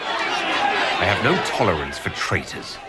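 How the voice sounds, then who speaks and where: An actor playing an aloof, hard-hearted hero in a fantasy video game, cool and blunt. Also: male voice, deep voice, sing-song, takes it slowly, very noisy, full character voice. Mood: cold approval. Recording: game audio with loud crowd ambience.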